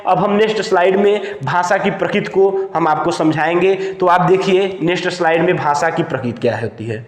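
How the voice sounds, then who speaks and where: A man teaching an exam-prep lesson point by point, repeating lines and answering his own questions. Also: high-pitched. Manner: repetitive, asks questions and answers them himself. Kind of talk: lesson explained point by point for note-taking. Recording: echoey, reverberant room.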